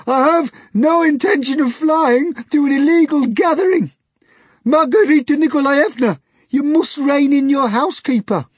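A hoarse pompous hog-man. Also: very high voice, moderate pace, no room sound, studio quality, pitched above the performer's own voice. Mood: indignant. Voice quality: hoarse grunting. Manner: stiff and pompous.

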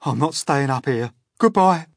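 Storybook centipede, played by a man: brash, sharp-tongued, scornful, raised and forceful. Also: medium pitch, lively intonation, unhurried, creaky, no echo, studio quality, pitched above the performer's own voice. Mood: defiant. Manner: blunt, scornful, emphatic.

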